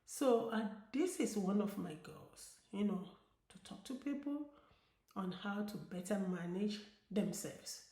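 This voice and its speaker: A middle-aged Nigerian-accented woman speaks at an unhurried pace, earnest and encouraging, leaning on repeated words to drive her point home. Her voice sits mid-range and carries a roomy echo from the space around her. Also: medium pitch, expressive, dark tone. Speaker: diabetes educator. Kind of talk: casual vlog talk to viewers.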